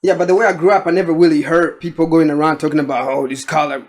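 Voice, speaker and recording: Male guest, East African accent, higher-than-average pitch, loose conversational style. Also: flat delivery, quick pace. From East Africa, born in Burundi, grew up in Tanzania. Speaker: returning podcast guest. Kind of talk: casual chat.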